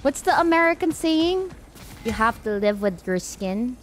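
A woman's voice: a little high, unhurried, a little hiss or hum. Chatty woman gamer. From Philippines. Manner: chatty, giggly, expressive reactions. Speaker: gaming streamer. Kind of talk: let's play reaction commentary. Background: game music.

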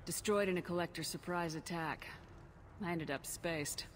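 A woman's voice: fairly low pitch, steady tone, normal speed, a little hiss or hum, natural voice. Woman as dry, confident space commander in reverb. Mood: composed, wry. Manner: direct, dry. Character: confident, wry human military commander woman. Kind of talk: video game dialogue.

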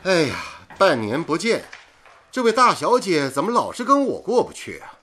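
A man's voice: high-pitched, wildly swooping pitch, moderate pace, sharp tone, a little hiss or hum, exaggerated voice. An actor playing a teasing, carefree young village-born man in a radio drama, speaking with playful exclamations. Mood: baffled, wry. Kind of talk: Mandarin radio drama acting.

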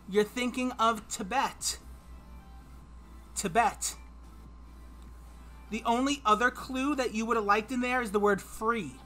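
A man's voice: very high voice, bright, forceful tone. A man in his thirties hosting a livestreamed trivia quiz from home, chatty and upbeat as he reads clues aloud. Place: home streaming room.

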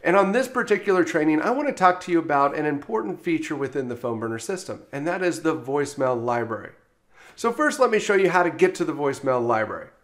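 Male software trainer, slightly higher register, explanatory step-by-step delivery for instructional screencast narration. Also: sing-song, quick pace.

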